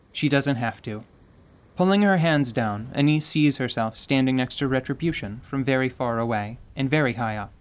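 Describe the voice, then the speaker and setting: A man reading scripted dramatic narration for a fantasy tabletop story told as radio theatre. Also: medium pitch.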